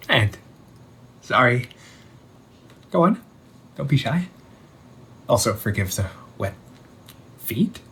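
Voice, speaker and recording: A man playing an undead older brother returned from the sea, talking in a hushed, halting, teasing way in an audio roleplay. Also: lowish voice, slight background noise. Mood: apologetic, halting. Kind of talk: audio roleplay monologue to the listener.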